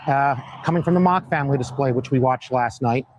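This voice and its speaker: A hoarse man hosting a live-stream interview outdoors, upbeat and fond of repeating phrases for emphasis. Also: mid-range voice, brisk, dull audio, a little hiss or hum. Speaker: host. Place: outdoors at a Christmas light display by a road.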